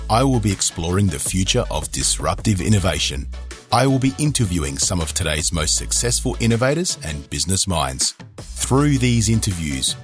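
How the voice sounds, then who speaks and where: A man hosting a podcast, reading a formal, measured introduction and interviewing a guest. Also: low voice. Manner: formal, measured, read-out delivery. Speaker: podcast host.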